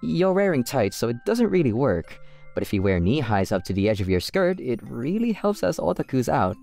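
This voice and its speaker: A woman's voice: very deep voice, normal speed, gravelly, heavily acted. A woman voicing an eager, easily flustered teenage otaku schoolboy in a manga romcom dub, sometimes hesitant and stammering. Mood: excited. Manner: excitable, often stammering and hesitant. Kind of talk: manga dub acting with first-person narration. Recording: music behind the voice.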